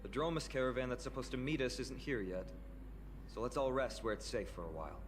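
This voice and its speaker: A man voicing a courteous, earnest priest in a game, explaining things in a measured way. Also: medium pitch, noisy background, natural voice. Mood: calm. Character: polite, earnest male priest host. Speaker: game character. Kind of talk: game voice acting.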